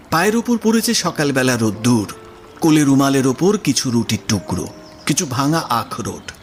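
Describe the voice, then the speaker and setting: A man narrating a literary story in an expressive, scripted audio drama style. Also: medium pitch, a little hiss or hum. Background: music.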